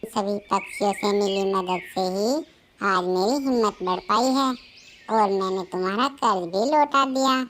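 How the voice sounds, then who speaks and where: A woman's voice: medium pitch, unhurried, fairly bright tone, slight background noise, lightly acted. A woman voicing a humble, earnest widowed bird who sells ice cream in a children's cartoon, speaking politely. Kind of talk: Hindi children's cartoon voice acting.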